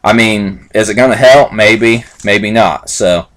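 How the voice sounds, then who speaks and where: A man's voice: fairly low pitch, a little slow, a bit bright. A man in his late twenties talking casually to camera in a rambling, repetitive way, with many fillers and "like I said". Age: 20s. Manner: loose and repetitive, lots of fillers and "like I said". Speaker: vlogger reviewing supplements.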